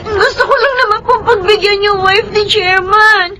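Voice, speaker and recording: An actress playing a sickly, pleading teenage daughter in a radio drama, in a plaintive, whiny manner. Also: female voice, very high voice, normal speed, crowd noise. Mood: hurt, pleading. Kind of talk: melodramatic radio drama acting. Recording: faint background noise.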